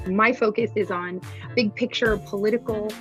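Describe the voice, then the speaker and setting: A woman gives a quick, matter-of-fact podcast introduction at a middle pitch. Music plays clearly beneath her. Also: fairly even intonation, fairly bright tone, lo-fi sound. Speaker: podcast cohost.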